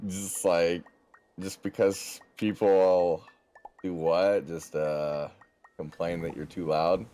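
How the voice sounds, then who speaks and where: A man's voice: low-pitched, steady tone, slow pace, mellow. A man joking around in casual voice chat, sometimes drawling out his phrases. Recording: clear background noise, clicks.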